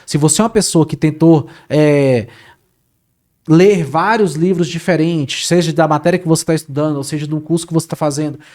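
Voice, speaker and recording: A man's voice: a little high, a little fast. A man talking casually as an interview guest, telling a story with lots of repetition and filler words. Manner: animated, repeats words, says "cara" often. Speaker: guest, Portuguese teacher.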